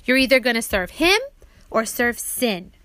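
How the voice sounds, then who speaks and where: A woman with a high, bright voice reads a children's faith book aloud. She is teacherly and keeps turning to young listeners with simple questions. The sound is close and dry. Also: expressive, normal speed, clean tone, no room sound. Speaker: author reading her audiobook aloud to her children.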